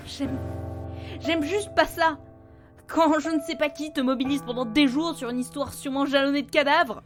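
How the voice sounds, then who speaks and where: Anxious girlfriend played by a woman for audio drama: high voice, emotional, pleading, music behind. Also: steady tone, normal speed, close to an everyday voice. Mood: worried, insistent. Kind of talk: French audio drama acting.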